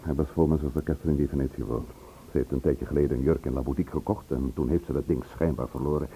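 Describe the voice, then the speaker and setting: A man's voice: a bass voice, fairly even intonation, quick pace, dark tone, slight background noise, low-quality recording. An actor playing a troubled, insistent man in a radio play, questioning with emphasis. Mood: agitated, puzzled. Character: troubled, insistent man questioned about his murdered brother.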